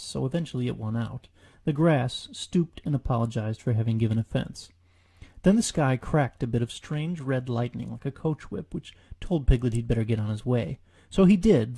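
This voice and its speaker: Very dark, gravelly male storyteller. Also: medium pitch. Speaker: author reading own story. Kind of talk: storybook audiobook narration.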